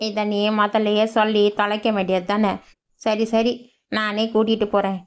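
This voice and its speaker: Woman voicing lazy young village man, cartoon, sarcastic, smooth, monotone. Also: medium pitch, normal speed, faint music behind, lightly acted. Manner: sarcastic, grumbling. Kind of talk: cartoon voice acting.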